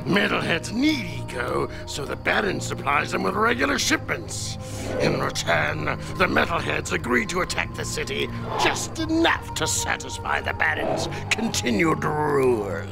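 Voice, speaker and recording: An actor playing an obese, scheming male crime boss with a gravelly, sly voice that drawls and tags lines with a coaxing "eh?" in a video game cutscene, loud music behind. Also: on the high side, huge pitch swings, a little slow, exaggerated voice, poor audio.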